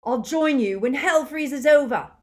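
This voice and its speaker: A woman reading aloud and voicing a brave teenage boy who speaks loudly and defiantly. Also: sits high, animated, measured pace, voice pushed up. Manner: loud, defiant.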